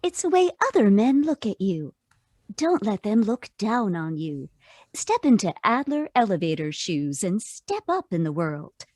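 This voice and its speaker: Woman announcer, lively radio-ad delivery. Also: mid-range voice, unhurried, no room sound, studio quality. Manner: persuasive sales delivery. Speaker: commercial announcer. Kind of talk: scripted vintage radio advertisement. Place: remote video call performance.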